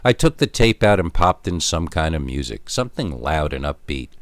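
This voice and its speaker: A man narrating a scripted first-person scary story in an expressive, emphatic storytelling manner, drawing out key words. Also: a bass voice, moderate pace, no echo.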